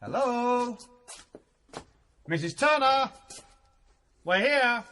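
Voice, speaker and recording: A young actor playing a jovial, pushy student in a radio drama, talking in a breezy way. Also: male voice, very high-pitched, animated, drawn-out words, bright, forceful tone, a little hiss or hum, full character voice. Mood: hearty. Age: young adult. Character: hearty, sporty young English student.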